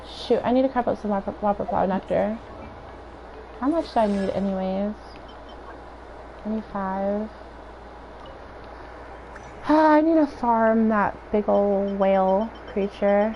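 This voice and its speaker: A hoarse woman with a raspy voice, chatting and thinking aloud in casual gameplay commentary as she streams. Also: mid-range voice, slow, pauses often, dark tone. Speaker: game streamer. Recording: dull lo-fi stream audio with faint noise.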